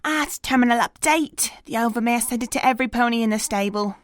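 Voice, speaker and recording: A woman's voice: fairly high pitch, fast talker, no echo, close to an everyday voice. A woman playing a caring but firm pony maintenance worker in an audio drama, coaxing and informal. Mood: explaining, hesitant.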